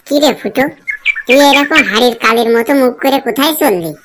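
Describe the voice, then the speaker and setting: A nosy cartoon village pal acted by a woman, casual and inquisitive, with a clearly high voice. Loud noise and chirping birds sit behind the speech. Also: a little fast, natural voice. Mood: curious. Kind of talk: cartoon comedy dialogue. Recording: very noisy, clicks and birdsong behind.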